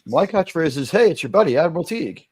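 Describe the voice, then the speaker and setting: A man with a very loud voice giving emphatic opinions in a casual stream chat. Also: fairly high pitch, very animated, fast talker, slightly rough, no echo.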